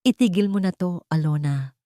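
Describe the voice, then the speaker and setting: A woman's voice: fairly low pitch, moderate pace, dark tone, no room sound, studio quality, close to an everyday voice. Woman voicing an urgent, pleading man. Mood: desperate pleading. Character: earnest, pleading man confronting his lost love.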